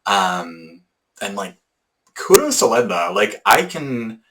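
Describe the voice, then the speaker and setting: Chatty deep-voiced man hosting a knitting podcast. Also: very animated, slow, noisy background. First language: English. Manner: rambling, chatty, many ums and restarts. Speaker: podcast host. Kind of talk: casual podcast chat.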